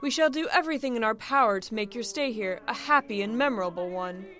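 A woman's voice: on the high side, fast talker, bright, forceful tone. A woman voicing a male president who greets a guest with formal, diplomatic cordiality. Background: background music.

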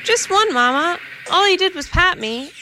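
Small fluffy male kitten, whiny and naive, high voice, played by a woman. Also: lively intonation, normal speed, sharp tone, a little hiss or hum, full character voice, poor audio. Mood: whining. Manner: whining, pleading.

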